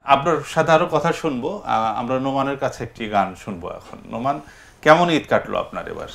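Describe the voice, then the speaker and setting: A man's voice: medium pitch, animated, brisk, creaky. A man with a Bangladeshi accent hosting a festive talk show, often putting in short affirmations as others speak. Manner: frequent backchannel affirmations. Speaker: TV show host.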